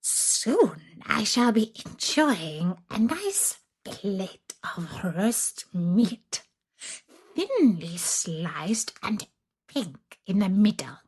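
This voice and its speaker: A woman voicing a menacing old witch with a husky, breathy voice and slow, mock-kindly threats, in a storybook style. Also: medium pitch, sing-song, darkish tone, exaggerated voice. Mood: gloating. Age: older. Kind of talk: storybook character acting.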